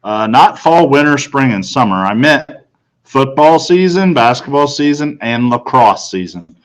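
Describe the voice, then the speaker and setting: A man's voice: medium pitch, lively intonation, measured pace, rough recording. Man reading a school storybook aloud. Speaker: audiobook reader. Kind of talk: first-person storybook narration read aloud.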